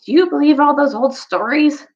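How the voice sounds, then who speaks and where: A woman's voice: high-pitched, normal speed, dull audio, voice pushed up. A woman voicing a blunt, skeptical male storybook dwarf with an exclamatory, incredulous manner.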